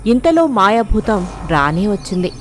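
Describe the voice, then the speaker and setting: A woman's voice: mid-range voice, big pitch swings, a little slow, a little hiss or hum. A woman narrator reading a scripted moral tale in storybook style.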